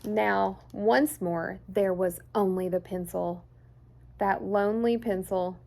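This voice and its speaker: A woman reading a children's picture book aloud in an expressive, deliberate storyteller's way. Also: mid-range voice, gravelly. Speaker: children's story time host.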